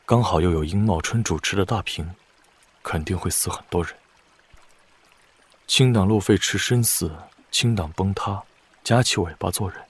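An actor playing a calm, calculating blind male strategist in a wuxia audio drama, speaking in a measured, deliberate way. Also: deep voice, breathy edge.

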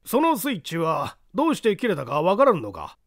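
A man's voice: sits high, wildly swooping pitch, a little fast, no room sound, clean studio sound, heavily acted. A man voicing a stern male judge who interrogates witnesses in a dramatic audiobook reading. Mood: stern, probing. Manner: commanding, interrogating.

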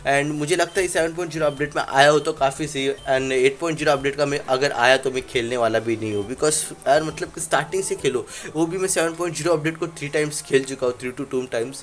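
A man's voice: fairly high pitch, brisk, few pauses. Chatty young man narrating gameplay. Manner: chatty, rambling, frequent fillers and English mixed in. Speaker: gaming channel host. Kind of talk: casual Let's Play commentary. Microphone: phone recording.

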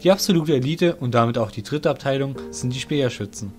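A man's voice: medium pitch, a little fast, soft background music. A man narrating a fantasy audio drama in a scripted storytelling style.

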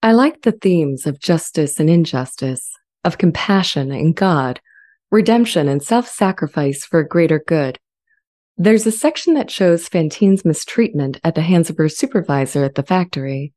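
Earnest AI character acted by a woman, low mellow register, measured and articulate, scripted drama. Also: animated. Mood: thoughtful. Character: earnest, friendly, self-aware artificial intelligence language model. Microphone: studio condenser mic with pop filter, close.

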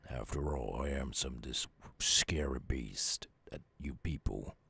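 A man voicing a gruff, weary, guarded werewolf outcast in a slow ASMR roleplay, with a Southern US accent and a gravelly, husky, halting drawl. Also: a bass voice, sharp tone, no echo, exaggerated voice. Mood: brooding.

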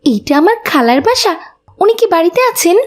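Sweet, very high little schoolgirl. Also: big pitch swings, moderate pace. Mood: cheerful, polite. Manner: pleading, childlike, polite. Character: sweet, polite, eager little schoolgirl, poor family's daughter. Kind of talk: children's moral cartoon voice acting.

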